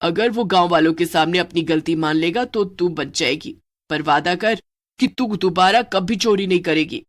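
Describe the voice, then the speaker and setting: A woman's voice: fairly low pitch, normal speed, close to an everyday voice. Loyal, bossy cartoon witch who nags her friend, played by a woman. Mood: earnest. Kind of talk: cartoon voice acting.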